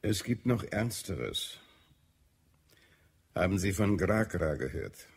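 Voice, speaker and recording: Deep, gravelly, edgy health adviser. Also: male voice, sing-song, slow, exaggerated voice. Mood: grave warning. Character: irritable, weary male Martian health adviser, grimly concerned. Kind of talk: German audio drama acting.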